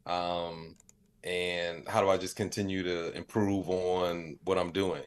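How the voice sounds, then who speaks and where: A man's voice: low-pitched, little pitch movement, slow pace, smooth. A middle-aged man with an American accent answering interview questions in a casual, laid-back, reflective storytelling way. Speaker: podcast guest, comedian and digital creator.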